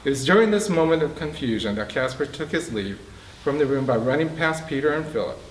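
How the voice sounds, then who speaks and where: A man's voice: medium pitch, expressive, fairly quick. Man reading fiction aloud, distant and roomy. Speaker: author reading from own book. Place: bookstore reading event, indoors. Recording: roomy, distant mic, faint background noise.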